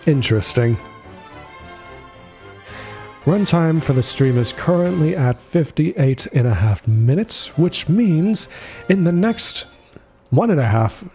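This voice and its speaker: A man's voice: on the high side, lively intonation, slow pace, long pauses, darkish tone. A man giving casual, jokey livestream commentary on a video game and chuckling often. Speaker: streamer. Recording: game music behind the voice.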